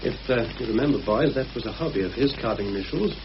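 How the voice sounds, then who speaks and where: An actor as calculating British plotter, lively intonation. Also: male voice, mid-range voice, close to an everyday voice. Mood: cool, deliberate. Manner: measured, methodical, persuasive. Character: Richard, calm calculating war veteran plotting a murder. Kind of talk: mid-century British radio drama acting. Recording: muffled, hissy old radio recording.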